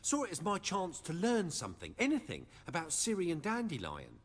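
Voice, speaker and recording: An actor playing an anxious, earnest shapeshifter in a fantasy game, timid but loyal and expressive. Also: male voice, on the high side, a little fast, breathy edge, long reverb tail, exaggerated voice. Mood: anxious, regretful.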